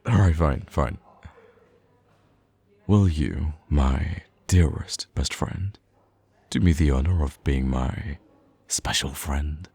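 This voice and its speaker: A man's voice: rumbling low, slow pace, vocal fry, pauses often, darkish tone, slightly rough, dry recording, exaggerated voice. A man playing a smitten young best friend in an intimate romantic ASMR roleplay, speaking hesitantly and tenderly with some playful teasing. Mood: flustered, playful.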